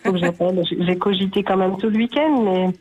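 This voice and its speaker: A woman's voice: mid-range voice, fast, darkish tone, highs cut off. A woman with a cold calling a radio game, friendly and thinking aloud with hesitations. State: has a cold, stuffy voice. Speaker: caller. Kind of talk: phone-in call to a radio game.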